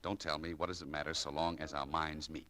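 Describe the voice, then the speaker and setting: An actor playing a modest, smitten young shop clerk in 1940s radio style, earnest and a little halting. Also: male voice, a bass voice, flat delivery, sharp tone. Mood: eager, smitten.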